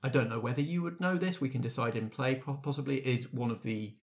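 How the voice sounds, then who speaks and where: A man's voice: medium pitch, fast. Man guiding a tabletop game. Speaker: game master. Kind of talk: casual explanatory tabletop game talk.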